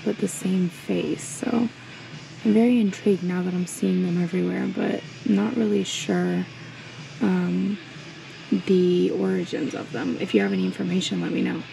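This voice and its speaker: A woman's voice: lowish voice, creaky, warm tone, poor audio. A woman narrating a casual shopping vlog while browsing an antique mall, chatty and admiring, with frequent ums, recorded on a handheld camera. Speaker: reseller vlogger. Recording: fan noise in the background.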